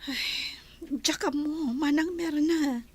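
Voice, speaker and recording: A woman's voice: sits high, drawn-out words. An actress with an Ilocano accent playing an earnest, pleading young wife of a rich man. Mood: worried.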